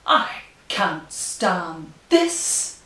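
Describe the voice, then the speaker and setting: A weary storybook father bear, acted out by a woman, complaining in slow, drawn-out dismay. The sound is roomy and distant, with hiss behind it. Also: on the low side, sing-song, fairly bright tone, exaggerated voice. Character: weary, exasperated father bear in a picture book. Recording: roomy, distant, hissy home recording.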